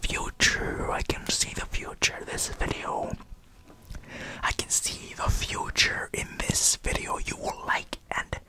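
Breathy whispering man, close on the mic. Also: slow. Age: 20s. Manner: repeats trigger words over and over, playful. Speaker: ASMR video creator. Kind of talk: ASMR whispering. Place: indoors in front of a green screen. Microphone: large desk microphone at close range.

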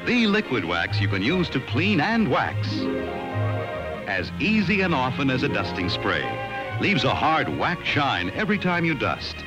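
A man's voice: mid-range voice, sing-song, a little slow, dull audio, music in the background. A man narrating a 1960s television ad as its announcer, on a vintage soundtrack. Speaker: commercial announcer.

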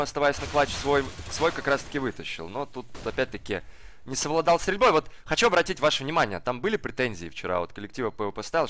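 A hoarse man commentating a live online game match in a casual, slangy way, speeding up during the action. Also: medium pitch, fairly bright tone, no room sound. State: hoarse from the cold. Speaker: esports commentator. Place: cold flat at home.

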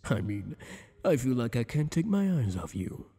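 A man's voice: mid-range voice, sing-song, warm tone, slightly rough, big-room sound. A man voicing a teasing, possessive monster boss. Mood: flirtatious. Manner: teasing, flirtatious, slow and intimate. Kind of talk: ASMR roleplay.

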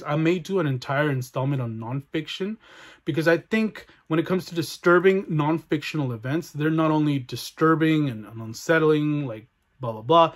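A young man from Mexico, a book vlogger chatting casually and warmly through viewer comments in his room, laughing now and then. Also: fairly high pitch. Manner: chatty, friendly, laughs often. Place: bedroom with bookshelves and neon light, indoors.

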